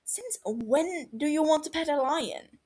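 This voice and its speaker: A woman's voice: high voice, expressive, unhurried, darkish tone, voice pushed up. A woman voicing a cynical, sardonic, exasperated British man in his twenties in an audio story. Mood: incredulous, weary. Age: 20s. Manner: sardonic, sighing, withering. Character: dry, exasperated, cynical young British man, reserved realist. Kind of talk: fan fiction audio story dialogue.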